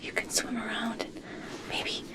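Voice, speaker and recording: A woman plays a female scientist who is tenderly obsessed with merpeople. She whispers in a soothing ASMR roleplay style, very unhurried, with a lilting, varied pitch. Her manner is soft and coaxing. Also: huge pitch swings. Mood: fascinated, dreamy.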